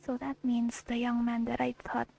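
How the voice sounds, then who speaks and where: Excitable high-pitched young woman with creaky voice. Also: moderate pace. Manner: excitable, slangy, giggly. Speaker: gamer recording her playthrough. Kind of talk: casual reaction commentary over gameplay. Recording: game music under the commentary.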